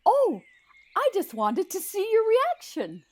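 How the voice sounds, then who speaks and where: A fairy godmother of the audio-drama kind , played by a woman, sweetly teasing and meddlesome. Her voice is extremely high and drawn out at a very slow, leisurely pace, with sing-song swoops. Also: wildly swooping pitch, a little mellow, exaggerated voice. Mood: teasing, breezy. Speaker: voice actor.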